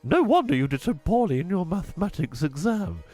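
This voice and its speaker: A man's voice: very animated, a little fast, darkish tone, faint music behind. A man voicing a strict, scornful middle-aged mother while reading a children's book aloud. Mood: stern scolding. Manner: shrill, scolding, overbearing.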